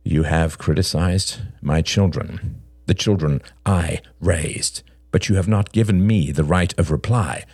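A man voicing a cold, aggrieved father in an audiobook drama, deliberate and contemptuous as he confronts a rival. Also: low voice, darkish tone, dry recording. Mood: cold, resentful.

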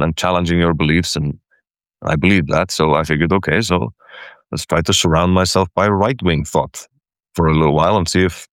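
A man's voice: sits low, dry recording. A man from Iceland, a guest in a conversation, speaking hesitantly and repeating words as he restarts his sentences. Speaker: caller, guest. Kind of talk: casual personal conversation.

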